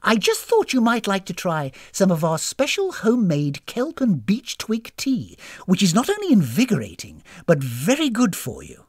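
A woman's voice: medium pitch, wildly swooping pitch, moderate pace, slightly rough, no echo, clean studio sound, pitched above the performer's own voice, heavily acted. A woman voicing a friendly, flustered old lady who runs a spa, in an airy, hesitant, over-polite storybook manner. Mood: beaming. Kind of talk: storybook character voice.

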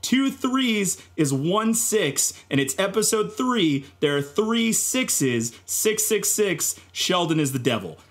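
A man's voice: sits high, big pitch swings, slow, few pauses. A man on a podcast panel spinning comic theories in a mock-serious, dramatic, emphatic way.

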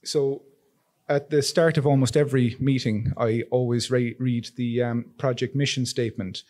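A man's voice: medium pitch, steady tone, moderate pace, mellow. Irish man speaking at a podium. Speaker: town coastal resilience coordinator. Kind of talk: public forum presentation. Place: meeting hall with audience. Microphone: podium microphone.

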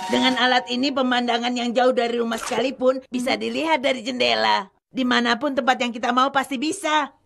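A woman voicing a cheerful, helpful robot cat companion in a cartoon dub, with a creaky voice. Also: a little high, fairly even intonation, brisk, fairly bright tone. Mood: showing off.

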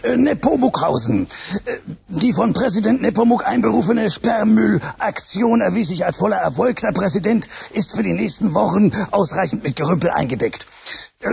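A chirpy male puppet who reads the news. His very high voice is raspy and gravelly and bounces around lively. He has a bright newsreader manner in a children's radio play. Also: normal speed, exaggerated voice.

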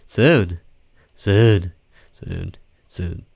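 A man's voice: deep voice, extremely slow, gravelly, a little mellow, slightly rough, no echo, studio quality, heavily acted. A man voicing an ominous documentary announcer who gives grave warnings in a horror game. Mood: foreboding.

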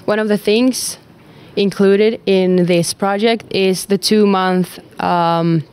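A woman's voice: mid-range voice, steady tone, slow pace, a little mellow, clear, resonant tone. A young woman from Finland whose first language is Swedish, answering interview questions in English with hesitant fillers in a school classroom. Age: young adult. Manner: hesitant, with frequent fillers and restarts. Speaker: exchange student.

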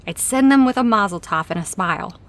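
A frank female boss played by a woman in an audio drama. Her medium-pitched voice is casual and candid, with dry, wry humour running through conversational lines. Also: animated, brisk, lightly acted. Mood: earnest.

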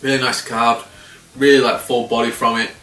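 A man in his thirties casually reviewing a beer to camera, relaxed and swearing freely with frequent chuckles. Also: medium pitch, moderate pace, soft background music. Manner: laid-back, swears casually, chuckles often. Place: small indoor room by window blinds. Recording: roomy and slightly distant.

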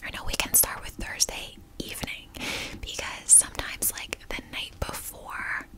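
A woman whispering a guided visualization for ASMR in a breathy, unvoiced whisper, gentle and soothing with a casual rambling manner. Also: unhurried, poor audio. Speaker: ASMR video host.

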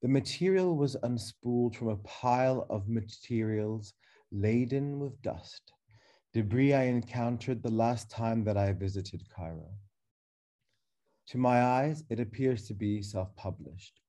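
A man in his thirties reading a prepared keynote as curator over a webcam video call, slow, measured and reflective, with pauses. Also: mid-range voice, warm tone. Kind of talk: reading a prepared lecture text. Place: dark room indoors.

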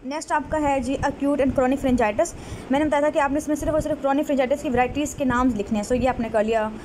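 Woman, high voice, medical tutor, slow question reading, quick explaining, faint background hum. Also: fairly even intonation, darkish tone, poor audio. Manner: reads questions slowly, then explains in quick Hindi-English mix. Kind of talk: exam question walkthrough lecture. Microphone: screen-recording voice-over.

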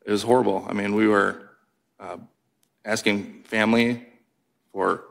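Low, gravelly, flat-voiced man testifying. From Wisconsin. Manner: terse, quiet, measured answers. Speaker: whistleblower witness. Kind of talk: hearing testimony. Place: congressional hearing room. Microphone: desk microphone.

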